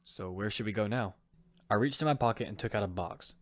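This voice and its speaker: A man's voice: lowish voice, brisk, dry recording, close to an everyday voice. A man playing a determined young fighter who narrates his own survival story in an amateur audio drama. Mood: casual, focused. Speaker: narrator and lead actor. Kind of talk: first-person narration of amateur audio fiction. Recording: clearly noisy amateur recording with clicks.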